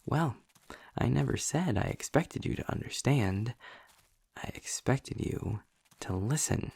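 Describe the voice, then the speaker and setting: A man's voice: lively intonation, unhurried, a little mellow, dry recording, whispered, full character voice. A man playing a snarky, impatient male demon in an audio roleplay, speaking to the listener with theatrical, sardonic condescension. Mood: sardonic, commanding. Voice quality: low, gravelly, husky with breathy drops. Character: snarky, impatient male demon trapped in his own hell.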